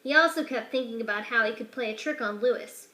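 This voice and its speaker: Bright, high-voiced woman reading a children's book aloud. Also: fast, roomy sound. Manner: expressive, shifts pitch and loudness for each character.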